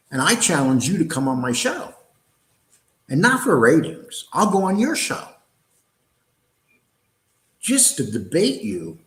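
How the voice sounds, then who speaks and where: A man's voice: on the high side, vocal fry, echoey room. A man with a New York accent hosting a live online show, answering viewer comments in a blunt, combative way, with many fillers and restarts.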